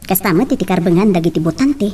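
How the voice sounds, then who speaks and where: A woman's voice: lowish voice, animated, quick pace, dark tone, husky. A woman acting an uneasy, confiding friend in an amateur Ilocano audio drama, with an Ilocano accent. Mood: doubtful, confiding. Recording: distant mic with faint noise.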